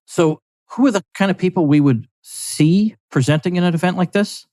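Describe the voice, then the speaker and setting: Measured male podcast host. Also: high voice, warm tone, dry recording. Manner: measured, clarifying questions. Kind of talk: interview questions.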